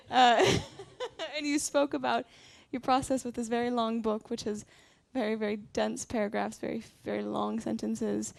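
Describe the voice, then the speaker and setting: A woman moderates a panel, steering the talk with brisk questions and a light playful touch. Her voice sits high but stays flat in melody, with a gravelly fry. The sound is dry and close. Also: no room sound. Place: library event space with live audience.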